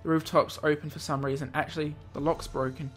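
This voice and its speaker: A man's voice: on the high side, little pitch movement, fast. A man reads a visual novel aloud as a gaming host, over game music. He trips over words now and then and breaks off from the script into his own asides. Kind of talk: let's play, reading visual novel aloud with reactions. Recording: music behind the voice.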